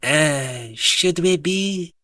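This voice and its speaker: A man's voice: a little high, drawn-out words, pushed and bright, dry recording, studio quality, heavily acted. A man voicing a gloomy, world-weary French existentialist woman with a smoky murmur and French accent. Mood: listless.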